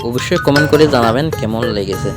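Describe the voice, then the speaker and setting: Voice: mid-range voice, lively intonation, fast. A channel presenter doing a promotional voice-over, addressing viewers and asking them to follow. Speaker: channel live-stream presenter. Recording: loud music under voice. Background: loud music and cartoon dialogue.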